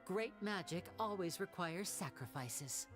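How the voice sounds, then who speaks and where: An actress voicing a sharp young woman detective in a video game, reasoning calmly and deliberately. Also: on the low side, music in the background. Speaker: investigator character in a video game. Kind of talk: game voice acting.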